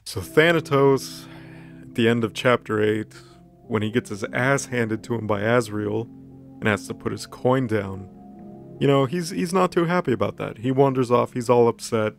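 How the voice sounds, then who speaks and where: A man's voice: mid-range voice, normal speed, vocal fry. A man co-hosting a story recap in a casual, comedic storytelling style. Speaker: co-host recapping a fantasy story. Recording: music under the voice.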